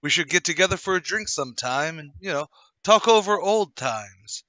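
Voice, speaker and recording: Radio play: male actor as jovial old-pal male veteran, high bright voice, put-on accent, animated. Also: moderate pace, no room sound, low-quality recording. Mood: hearty, chummy. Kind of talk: radio-play table read.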